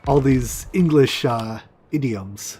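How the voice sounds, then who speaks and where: A man whose first language is Chinese, casually talking to himself and his viewers while he plays a game, with mumbled asides and excited outbursts. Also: medium pitch, takes it slowly, slightly rough. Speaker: streamer. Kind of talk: casual gameplay commentary.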